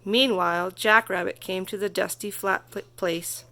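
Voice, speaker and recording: A woman reading a children's story aloud as narrator, expressive and carefully phrased. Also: medium pitch, normal speed. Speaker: storybook reader.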